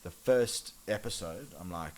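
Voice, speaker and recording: Man, South African accent, low-pitched, enthusiastic podcast host, casual swearing. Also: unhurried, a little mellow.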